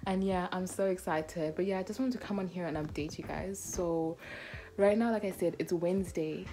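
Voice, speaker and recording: A woman's voice: on the low side, steady tone, moderate pace, mellow. A woman in her twenties chatting casually to her vlog in an informal, emphatic way, recorded on a phone at arm's length in a small bedroom. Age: 20s. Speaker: vlogger, university student.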